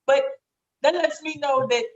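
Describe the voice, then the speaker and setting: Raspy, high-pitched, outspoken woman. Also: fairly even intonation, measured pace, muffled recording. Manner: outspoken, emphatic, slangy with swearing. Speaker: podcast guest. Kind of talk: casual, candid podcast conversation.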